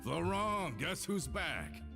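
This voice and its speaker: A man playing a jovial, eager gang member in an anime game, hearty and excitable. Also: on the high side, slow, gravelly, music in the background, heavily acted. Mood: overjoyed.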